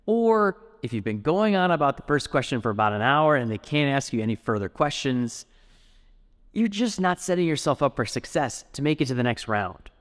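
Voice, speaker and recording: A man's voice: mid-range voice, big-room sound. A man in his thirties with an American accent, presenting a scripted show as an upbeat, polished host. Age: 30s. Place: indoor office, close to camera. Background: background music.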